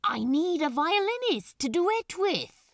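A bold, exuberant male demon from a storybook, played by a woman. He is cheeky and insistent, coaxing with wide swings of pitch. The sound is close and dry. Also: big pitch swings, slow, no echo, full character voice. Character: exuberant, cheeky male demon musician, shouting over music.

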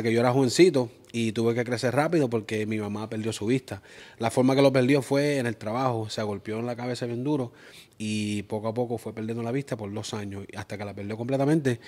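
A man in his thirties from Springfield, Massachusetts, with a Puerto Rican accent, telling his story as an interview guest in a soft, hesitant way. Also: medium pitch, fairly even intonation, a little fast. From Springfield, Massachusetts, of Puerto Rican parents. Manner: soft-spoken, hesitant, many fillers. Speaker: guest, music producer. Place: purple-lit indoor studio set.

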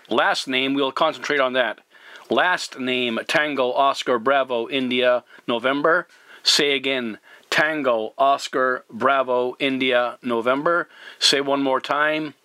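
A man speaks as a CB radio operator in a clear procedural style, courteous and deliberate. His voice is bright and forceful at a middling pitch. The sound is dry, with very little room around it. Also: dry recording. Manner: courteous, formal, deliberate repeating. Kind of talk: radio contact talk, spelling in phonetic alphabet.

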